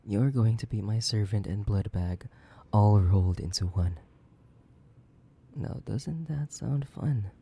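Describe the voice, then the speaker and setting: Man playing a gravelly, slow, sadistic vampire. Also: fairly low pitch, mellow, heavily acted. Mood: possessive. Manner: theatrical, slow, menacing, lapsing into breathy whispers. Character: sadistic, possessive, centuries-old male vampire. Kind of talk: dramatic horror roleplay audio, addressing the listener.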